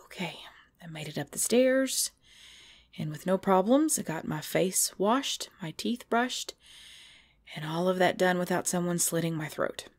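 A woman playing a cute, easily scared girlfriend in an intimate ASMR roleplay, pleading and whiny, often hushed. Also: lowish voice, expressive, measured pace. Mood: hushed, nervous. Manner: pleading, whiny, dropping into hushed self-talk. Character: cute, jumpy girlfriend frightened by scary movies. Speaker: roleplay audio performer.

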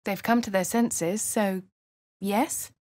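An actress voicing a posh young woman adventurer in a game, speaking in a measured, composed way with a posh English accent. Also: mid-range voice, fairly even intonation, darkish tone, no echo, studio quality, lightly acted. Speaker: player character. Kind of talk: game voice lines.

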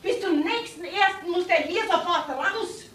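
Landlady: high female voice, indignant, plaintive, exclamatory crime-drama delivery, echoey room. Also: normal speed, fairly bright tone. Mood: outraged. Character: indignant, lamenting landlady, easily outraged.

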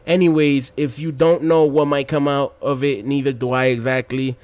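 A man's voice: mid-range voice, level tone, moderate pace, a little mellow. A man in his twenties giving casual gameplay commentary, talking to his viewers in a slangy, laid-back, rambling way. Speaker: gaming video commentator.

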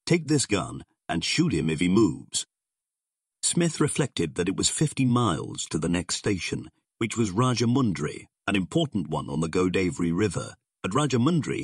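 A man with a husky voice reading scripted narration of a pulp adventure story as an audiobook narrator. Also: sits low, dry recording, studio quality.

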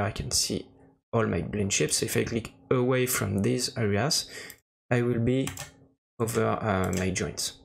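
Slow, gravelly man demonstrating software. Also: mid-range voice. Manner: hesitant, searching for words. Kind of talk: casual screen-recorded tutorial narration. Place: voice-over for a screen recording.

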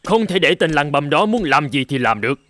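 A man's voice: fairly high pitch, sing-song, a little fast, a bit bright. A man voicing a stubborn old medical critic in a dramatic cartoon dub, mocking, indignant and emphatic. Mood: mocking outrage. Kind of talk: dramatic animated-film dubbing.

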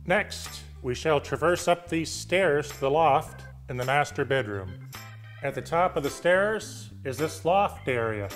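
Middle-aged male realtor, slightly high voice, upbeat sales tour narration over clear guitar music. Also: fairly bright tone. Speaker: real estate agent presenting a property tour.

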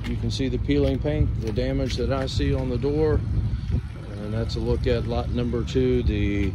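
A plain-spoken, folksy man narrating an informal walkaround as a vehicle auction presenter. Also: medium pitch. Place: outdoor car lot. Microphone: handheld phone held by the speaker. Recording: clear outdoor ambient and vehicle noise.

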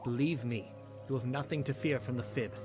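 A man performs a blind priestess in a dramatic comic dub. The speech is composed, pious and formal, told gravely and with measure. Loud music runs behind it. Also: mid-range voice, a little fast, lightly acted. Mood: calm, guarded. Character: solemn blind priestess of a religious order, composed.

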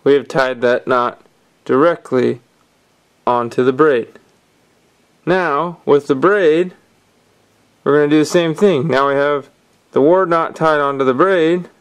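A man calmly explaining step by step how to tie fishing knots, talking while his hands work at a table indoors. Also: fairly high pitch, unhurried, long pauses, smooth. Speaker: fishing instructor. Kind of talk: hands-on knot-tying tutorial.

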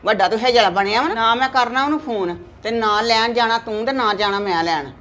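A woman's voice: a little high, brisk, a bit bright, faint music behind, lightly acted. An actress with a Punjabi accent playing a stubborn mother who scolds her son and refuses to give in. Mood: defiant. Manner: defiant, scolding.

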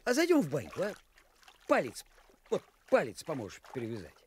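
Sly, animated young village lad. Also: male voice, on the high side, brisk, slight background noise. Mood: coaxing. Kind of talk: Soviet radio play acting.

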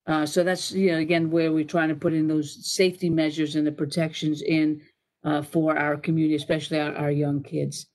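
A woman's voice: deep voice, little pitch movement, a little fast, darkish tone. A middle-aged woman on a town hall panel explaining safety policy over a video call from a home office, rambling with restarts and fillers. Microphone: video call webcam.

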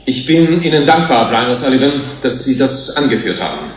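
An actor playing an earnest, dignified scientist in a radio play, speaking formally with measured emphasis. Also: male voice, mid-range voice, big pitch swings, close to an everyday voice. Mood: earnest, defensive. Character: earnest, dignified male scientist defending his humane outlook. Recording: very echoey room, faint noise.

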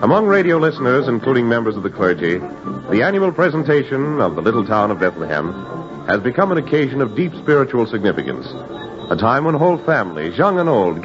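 An American man announcing in formal, grand, measured 1940s radio style. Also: medium pitch. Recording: dull lo-fi 1940s radio broadcast. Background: music.

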